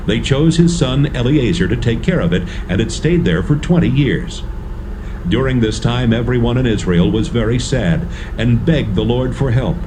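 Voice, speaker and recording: A man giving measured, storytelling narration for a dramatised audio Bible. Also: medium pitch, noisy background.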